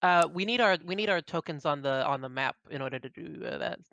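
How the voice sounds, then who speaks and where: A man's voice: fairly high pitch, lively intonation, fast talker, gravelly, bright, forceful tone, no room sound, highs cut off. A man playing a tabletop roleplaying game, chatting casually with a wry, grumbling manner. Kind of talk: casual chat, in and out of character.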